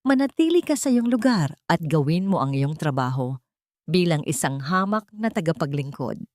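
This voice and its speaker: A haughty old matriarch from an audio drama story, as a woman narrator plays her, issues stern commands. The voice is low and closely recorded, coldly menacing. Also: big pitch swings, normal speed, darkish tone, no echo, studio quality. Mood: cold threat.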